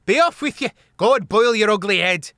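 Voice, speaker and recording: A woman's voice: mid-range voice, expressive, a little fast, fairly bright tone, dry recording, studio quality, voice pushed up, close to an everyday voice. A man voicing a spirited young female hedgehog who scolds boldly. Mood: scornful.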